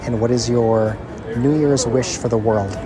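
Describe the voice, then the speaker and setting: A man hosting a travel vlog, asking strangers quick questions in a friendly, brisk way. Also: medium pitch, steady tone, music in the background, rough recording. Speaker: vlog host interviewing travelers. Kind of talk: casual vlog narration and street interviews.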